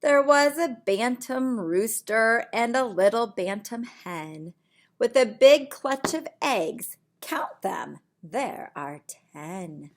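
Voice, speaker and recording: Preschool teacher lady reading a kids book, unhurried n sing-songy, bit of vocal fry. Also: fairly high pitch, lively intonation. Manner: deliberate, sing-song reading with simple asides and counting questions. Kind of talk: picture-book read-aloud for young children. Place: small indoor room at home.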